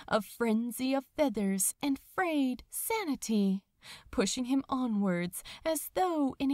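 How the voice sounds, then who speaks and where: A woman narrating fan fiction as a dramatic audiobook, with deliberate phrasing. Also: fairly high pitch, darkish tone, no room sound, clean studio sound.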